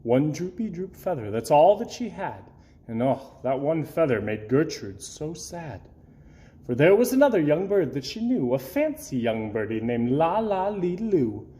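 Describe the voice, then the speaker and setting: A man performs an expressive read-aloud for children. His delivery is theatrical and very animated in pitch, leaning on key words and pausing for effect. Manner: theatrical, dramatic emphasis and pauses. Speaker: storybook reader.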